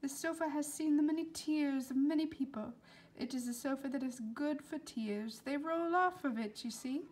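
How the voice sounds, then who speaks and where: A woman voicing an elderly foreign woman doctor in a storybook, warm and philosophical, with emphatic, oddly formal phrasing. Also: high voice, normal speed, voice pushed up, natural voice. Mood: earnest. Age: older.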